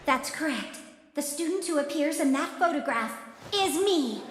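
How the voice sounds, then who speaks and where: A woman's voice: high voice, expressive, normal speed, slight background noise. A woman voicing an earnest, brave teenage schoolgirl in an English anime dub, speaking sincerely and emphatically. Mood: resolute. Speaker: voice actor.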